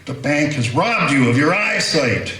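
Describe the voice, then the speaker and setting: A man voicing a strange, calm prisoner who speaks in cryptic, preachy riddles in a radio play. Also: on the high side, unhurried, roomy sound, exaggerated voice.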